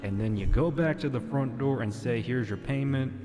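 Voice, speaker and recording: A man's voice: medium pitch, moderate pace. A man hosting a casual ASMR roleplay livestream, chatting to viewers in a courteous, playful way. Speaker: livestream host. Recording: long reverb, music behind.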